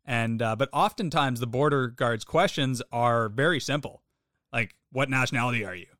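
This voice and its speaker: A man's voice: medium pitch, moderate pace, bright, forceful tone, no room sound, clean studio sound. A Canadian man co-hosting a casual podcast, agreeable and reflective, often backing his partner up. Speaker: podcast co-host.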